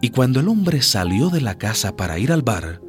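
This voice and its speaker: A man's voice: mid-range voice, very animated, moderate pace, soft background music. A man narrating a radio drama as a scripted story, with a grave, dramatic delivery.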